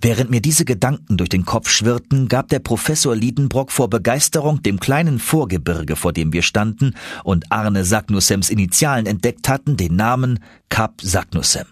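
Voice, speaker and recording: A man's voice: lowish voice, lively intonation, moderate pace, hardly any pauses, no echo, clean studio sound. A man narrating an adventure audiobook in a dramatic, scripted storytelling style.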